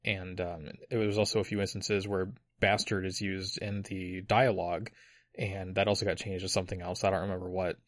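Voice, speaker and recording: Low-voiced man explaining in a dry room. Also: little pitch movement, fairly quick, no echo. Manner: detailed explainer with frequent uhs and likes. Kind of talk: casual chat about a video game.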